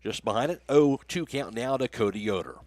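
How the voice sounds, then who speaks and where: A man's voice: mid-range voice, expressive, fairly quick, a little mellow, no room sound. A man doing live play-by-play baseball commentary for a radio broadcast. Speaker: play-by-play announcer.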